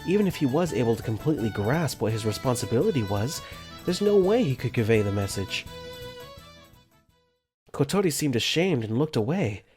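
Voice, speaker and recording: Guy doing a caring young dorm guy, narrating his thoughts, lively up and down, soft music behind. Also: mid-range voice, moderate pace, natural voice. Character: earnest young man, caring dorm caretaker. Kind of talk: first-person visual novel narration. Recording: faint music under the voice.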